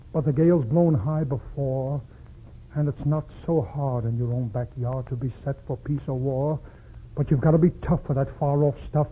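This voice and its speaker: A man's voice: fairly high pitch, breathy edge, narrow, tinny audio. A man reciting patriotic verse in a theatrical, rhythmic manner, in the style of 1940s radio drama. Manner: theatrical, rhythmic, rhyming delivery. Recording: scratchy, band-limited 1940s radio broadcast.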